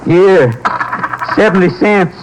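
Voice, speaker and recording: An actor playing a bitter, quick-tempered ex-convict husband in a vintage American radio drama, with folksy, ungrammatical speech. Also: male voice, high-pitched. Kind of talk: 1940s-50s radio drama acting. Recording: noisy old radio recording.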